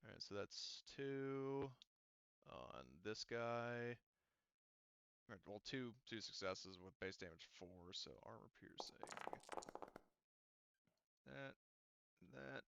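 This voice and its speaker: A man acting as game master in an online roleplaying session, thinking aloud and haltingly working through rules and dice. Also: mid-range voice, creaky, muffled recording, very noisy.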